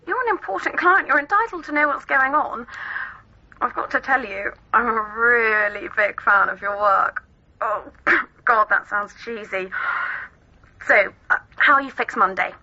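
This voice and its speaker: An actress playing an agent's keen, apologetic assistant, effusive and reassuring, in a radio comedy drama. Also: female voice, fairly high pitch, animated, a little slow, sharp tone, lo-fi sound, heavily acted. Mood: reassuring, flustered.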